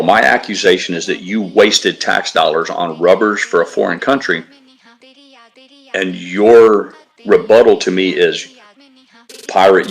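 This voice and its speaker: A man's voice: low voice, fairly bright tone. A man co-hosting a casual livestream, talking in a blunt, profane, ranting way. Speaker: livestream co-host. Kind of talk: casual stream chat.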